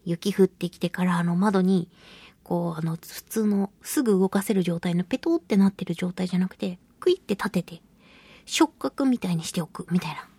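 A woman hosting a radio talk show on her own, chatting casually and telling stories in an animated, giggly, colloquial way. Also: on the low side, normal speed, slightly rough, no echo. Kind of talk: casual solo radio chat.

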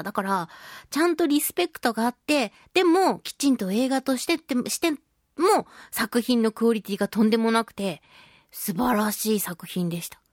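Animated high-voiced woman chatting on radio. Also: no echo, studio quality. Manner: expressive, emphatic, chatty, stretches words for emphasis. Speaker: radio show host and voice actress. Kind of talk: casual solo radio talk to listeners.

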